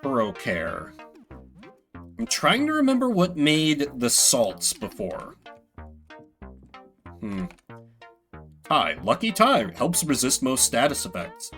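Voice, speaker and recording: A man's voice: medium pitch, wildly swooping pitch, slow, pauses often, a bit bright. A man doing live game commentary in a playful, sweary way, reading bits of text aloud and chatting with viewers. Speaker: game streamer. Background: video game music.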